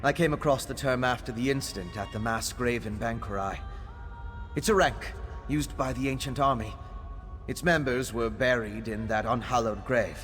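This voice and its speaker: An actor playing an earnest, scholarly alchemist in a video game, explaining things in a thoughtful, tentative way. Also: male voice, medium pitch, normal speed, a bit bright, faint music behind, close to an everyday voice. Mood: thoughtful, curious. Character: earnest scholarly male vampire alchemist adventurer. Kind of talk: video game character dialogue.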